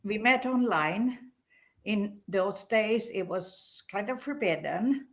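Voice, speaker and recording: An older woman speaks as a guest in a relaxed conversation, chatty and quick to laugh. She takes her time, talking at a slow, unhurried pace. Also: medium pitch. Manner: chatty, laughs while telling stories. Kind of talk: casual chat.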